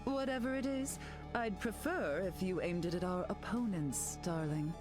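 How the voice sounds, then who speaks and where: A woman's voice: on the low side, normal speed, natural voice. A woman playing a glamorous telepathic queen in a video game, poised, theatrical and condescending. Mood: disdainful. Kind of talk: video game hero voice lines. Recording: game dialogue over loud music.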